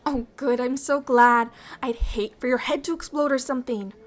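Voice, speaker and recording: Casting: woman as friendly alien diplomat for ASMR roleplay, somewhat high voice, bubbly, talkative, fond and eager to please. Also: normal speed, soft background music, lightly acted. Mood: friendly, caring. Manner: bubbly, chatty, apologetic, excitable. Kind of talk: ASMR roleplay, chatty and intimate.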